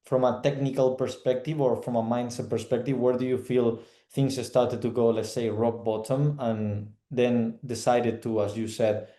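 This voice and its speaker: Male host from Spain, warm low tone, roomy echo, rambling questions. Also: fairly even intonation, moderate pace, few pauses. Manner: rambling questions, fillers like "let's say". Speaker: interviewer, host. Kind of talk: interview conversation.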